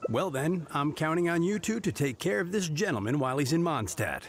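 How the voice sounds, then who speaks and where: Officious, animated knight guard. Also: male voice, on the high side, fairly quick, a little hiss or hum. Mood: pondering. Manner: formal, stiff, deliberating. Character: officious, rule-bound male knight guarding city gates. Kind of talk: scripted video game voice acting.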